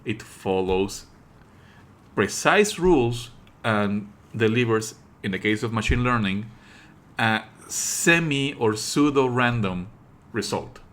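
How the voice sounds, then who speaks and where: Bright-voiced man giving pedantic stream commentary. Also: mid-range voice, expressive, takes it slowly. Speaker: streamer and host. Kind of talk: live game stream commentary, explanatory talk.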